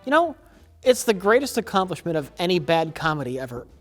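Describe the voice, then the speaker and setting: A man in his forties co-hosting a casual movie chat, giggling through deadpan jokes in a dim studio. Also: sits high, fairly quick, music in the background. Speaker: co-host. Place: dim studio set with curtains.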